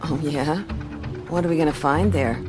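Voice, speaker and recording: Hard-boiled female sleuth actress, radio play: low, fry-laden, laconic, music underneath. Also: moderate pace, natural voice. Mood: wry, questioning. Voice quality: gravelly. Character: cool, tough woman detective. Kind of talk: radio drama acting and narration.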